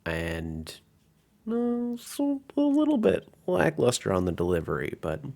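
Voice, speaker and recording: A man's voice: on the high side, wildly swooping pitch, a little slow, vocal fry, darkish tone. A man working as game master, narrating and commenting on a tabletop game in an informal, explanatory way.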